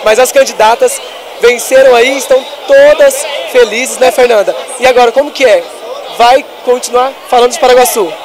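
Upbeat young reporter in a crowd. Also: male voice, very high voice, moderate pace, poor audio. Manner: upbeat, raises his voice over the noise. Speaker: event reporter. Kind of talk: on-the-spot interviews. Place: crowded indoor festival hall. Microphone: handheld mic. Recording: handheld mic amid loud crowd.

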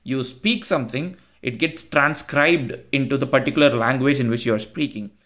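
A man's voice: mid-range voice, normal speed, fairly bright tone. Indian man with a thick accent. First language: Tamil. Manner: earnest, repeats phrases for emphasis. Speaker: tech YouTuber. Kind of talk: screen-share tutorial. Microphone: headset mic.

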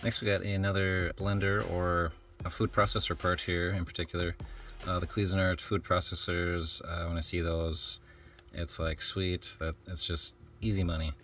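A man with a notably low voice talks through a how-to vlog. He is casual and matter-of-fact, wandering a little as he explains. Music plays clearly behind his voice. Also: little pitch movement, normal speed, a bit bright. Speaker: online reseller hosting a shipping vlog. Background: background music.

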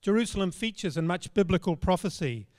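Older male preacher, slightly high voice, measured and plain, close mic with long hall reverb. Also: lively intonation, a little mellow. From Australia. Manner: measured, storytelling, plain spoken. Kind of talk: sermon. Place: large church stage. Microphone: handheld microphone.

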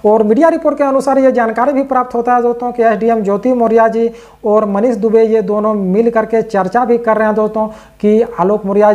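Male video host, thirties, high voice, very quick scripted delivery with eager patter. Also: a little mellow, lo-fi sound. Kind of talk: scripted vlog commentary to viewers.

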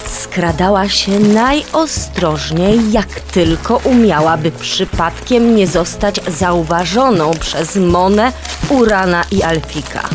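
A woman narrating a children's story in a deliberate, suspenseful way. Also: lowish voice, lively intonation, music in the background.

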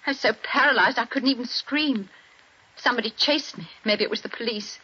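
An actress playing a frightened young wife in a 1940s radio drama, pleading and breathless. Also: female voice, on the high side, fairly quick, sharp tone, slightly rough. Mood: anxious. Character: frightened young wife telling detectives what she saw. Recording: dull 1940s radio broadcast.

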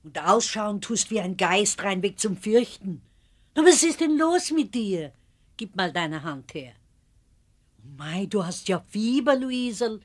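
Fussy homely housekeeper, austrian-bavarian accent, mid pitch, very singsong, audio drama. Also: female voice, animated, a little slow. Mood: fussing. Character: homely, fussing, devoted housekeeper. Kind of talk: German radio play acting.

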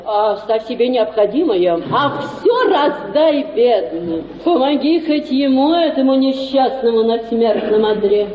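High-voiced, scheming society mother. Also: animated, unhurried, hardly any pauses, echoey room, dull audio, noisy background. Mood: pleading. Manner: theatrical, effusive, coaxing. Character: scheming provincial society mother, gushing and persuasive. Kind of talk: radio play acting.

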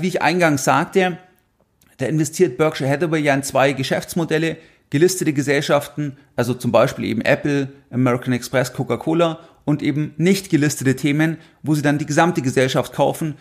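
A man hosting a finance podcast, explaining in a monologue and repeating phrases to drive points home. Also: a little high.

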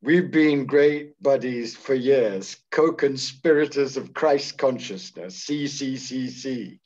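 A man's voice: medium pitch, darkish tone, lo-fi sound. A man hosting a conversation, introducing his guest in an effusive, emphatic way. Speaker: host. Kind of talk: interview introduction.

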